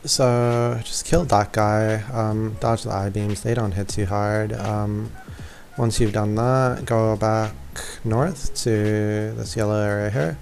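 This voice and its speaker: Low, creaky-voiced male gamer. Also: fairly even intonation, takes it slowly, darkish tone. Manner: informal, hesitant, thinking aloud. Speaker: gaming video creator. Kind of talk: casual gameplay walkthrough commentary. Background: faint game music.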